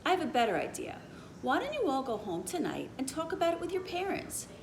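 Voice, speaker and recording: A patient female schoolteacher from a children's storybook, as a woman reads her aloud, explains things with emphasis. Her pitch is high and swings widely, over a faintly noisy recording. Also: huge pitch swings, a little fast, exaggerated voice. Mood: firm, encouraging. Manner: clear, instructive, emphatic.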